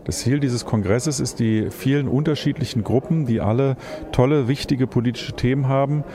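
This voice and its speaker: A man's voice: medium pitch, normal speed, a crowd in the background. A worn-out man, an event organizer, answering interview questions with hesitant fillers.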